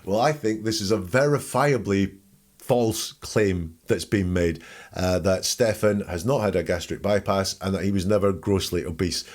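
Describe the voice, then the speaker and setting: A man's voice: fairly low pitch, darkish tone. A man hosting a podcast, giving informal, wry analytical commentary and reading out quotes to pick them apart. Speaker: podcast host.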